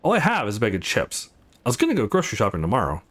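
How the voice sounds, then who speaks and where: A man voicing a tough but secretly soft young woman in a visual novel, blunt, sarcastic and reluctant. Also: lowish voice, huge pitch swings, very fast, husky, voice pushed down, heavily acted. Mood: dry.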